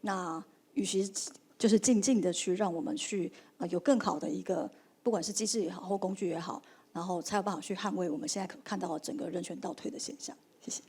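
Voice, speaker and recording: A woman on a panel talks fast in a husky voice. Her tone is mellow, with many fillers. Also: fairly low pitch. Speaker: panel speaker. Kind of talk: panel talk.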